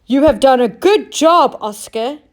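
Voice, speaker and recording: Dock boss in a children's story, male, read by a woman, kindly, trusting, slow delivery, higher register. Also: animated. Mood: pleased.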